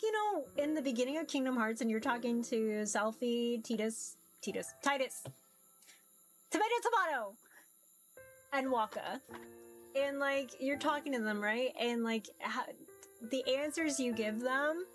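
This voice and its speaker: A woman's voice: high-pitched, lively intonation, a little slow, long pauses, faint music behind. A woman chatting casually and playfully as she streams a game, reacting aloud. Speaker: streamer playing a visual novel. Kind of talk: casual gaming stream chat.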